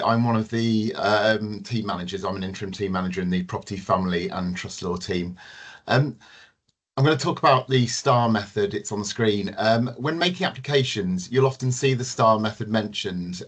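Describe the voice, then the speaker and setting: A man presenting as a team manager in a webinar, giving measured, methodical advice with a few ums. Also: lowish voice.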